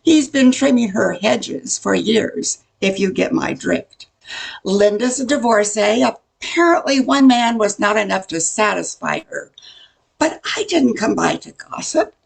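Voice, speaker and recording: A woman's voice: a little high, expressive, a little slow, creaky, breathy edge, heavily acted, rough recording. An older woman playing a nosy, gossipy welcome-wagon neighbor in a radio comedy, chatty and full of insinuation. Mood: sly gossip. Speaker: amateur radio play performer. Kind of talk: old-time radio comedy acting.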